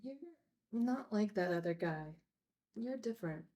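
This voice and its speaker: An actress playing a gentle, thoughtful, earnest woman in a screenplay table read. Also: mid-range voice, lively intonation, unhurried, close to an everyday voice.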